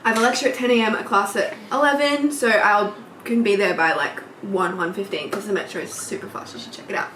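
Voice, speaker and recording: A young adult woman with an Australian accent, giggly and animated, telling stories in casual chat with friends. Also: mid-range voice, fast, hardly any pauses. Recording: roomy echo with background noise.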